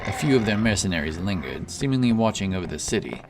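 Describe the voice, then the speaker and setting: A man's voice: on the low side, lively intonation, fast talker, noisy background. A man narrating a fantasy tale in a solemn, dramatic, scripted storytelling style. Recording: music under the narration.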